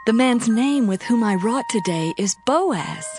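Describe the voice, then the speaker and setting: A woman's voice: fairly high pitch, animated, a little slow, a little mellow, soft background music. A woman playing a humble, devoted young widow who speaks deferentially in a dramatized scripture reading. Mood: dutiful.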